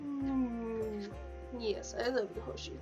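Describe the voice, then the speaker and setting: A woman's voice: fairly high pitch, fairly even intonation, takes it slowly, music in the background. A woman livestreaming a video game, chatting informally and in a rambling, affectionate way into a headset mic. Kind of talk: casual livestream chat over gameplay. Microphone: sensitive headset mic. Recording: dull, lo-fi headset audio.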